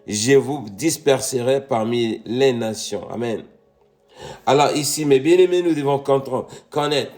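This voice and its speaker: A man's voice: mid-range voice, a little mellow. Congolese-born man preaching a fervent sermon. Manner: repeats "mes bien-aimés" and "Amen", rising to emphatic peaks.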